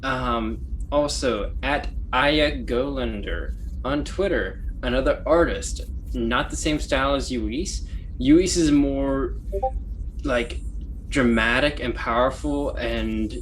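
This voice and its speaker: A man's voice: mid-range voice, slow pace, poor audio. Guy hosting a podcast, rambly and laughing, little hiss in the background. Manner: laughing, rambling, profane asides. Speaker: host. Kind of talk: casual chat, reading plugs.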